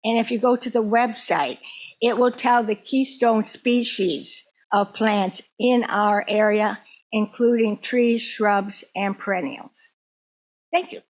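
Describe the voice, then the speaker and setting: A woman presenting an informal educational talk to a group, in a conversational, somewhat halting way. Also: fairly high pitch, fairly even intonation.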